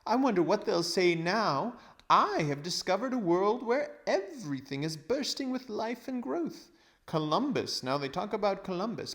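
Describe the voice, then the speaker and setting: Old gentleman, sixties, high-pitched, vain, greedy, pompous, excitable, storybook character. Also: heavily acted. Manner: gleeful, pompous, grandly exclamatory. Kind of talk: storybook read-aloud character voice.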